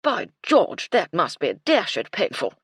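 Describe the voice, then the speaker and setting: A narrator putting on a booming, blustering old colonel. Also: male voice, huge pitch swings, slow pace, slightly rough, dry recording, full character voice. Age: older. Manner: loud, hearty exclamations. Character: blustering old army colonel, hearty and addled.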